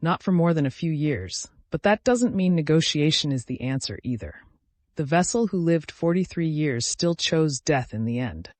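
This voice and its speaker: A man's voice: a little high, lively intonation, moderate pace, a little mellow, no room sound, close to an everyday voice. A man voicing a tired, determined female scholar in a fantasy story, grave and explanatory.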